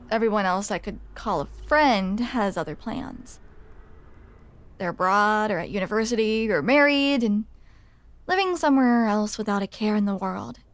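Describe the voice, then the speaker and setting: A young woman playing a grumpy, smitten barista in an intimate ASMR roleplay, awkward and hesitant as she confides in the listener. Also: medium pitch. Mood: bitter, rueful. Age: young adult. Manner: awkward, hesitant, self-deprecating, confessional.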